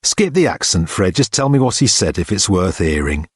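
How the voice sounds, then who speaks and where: A man voicing a large, unflappable college porter in an audiobook, dry, sceptical and deadpan. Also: sits low, moderate pace, slightly rough, no echo, exaggerated voice. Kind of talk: audiobook character dialogue.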